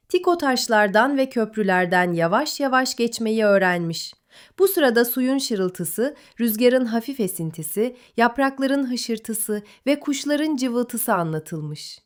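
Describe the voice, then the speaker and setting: Gentle, melodic woman storyteller. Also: fairly high pitch. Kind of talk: bedtime story narration.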